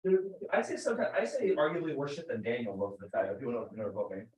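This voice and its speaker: A man's voice: medium pitch, rapid-fire, a little mellow, slight background noise. A young man chatting casually in a rambling, sweary, bantering way. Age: young adult. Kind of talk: casual chat. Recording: echoey room, distant from mic.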